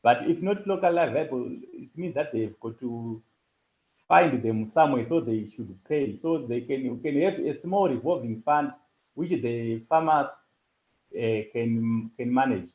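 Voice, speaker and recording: A man presenting a farming project and answering questions on a call, pausing often with fillers and restarts. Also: mid-range voice, animated. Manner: halting, with many fillers and restarts. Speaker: hackathon presenter. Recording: echoey lo-fi call audio.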